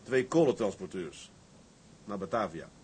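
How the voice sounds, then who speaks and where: An actor playing a daring, stubborn tugboat captain in a radio drama, firm and matter-of-fact. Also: male voice, on the low side, expressive, unhurried, a little mellow. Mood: serious, cautious. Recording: clear ambient noise behind.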